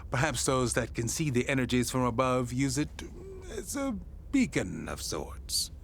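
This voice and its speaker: An actor playing a genial, eccentric older radio station boss in an audio drama, speaking in a courtly, halting, wordy way. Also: male voice, mid-range voice, sing-song, gravelly, slight background noise. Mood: solemn, courteous.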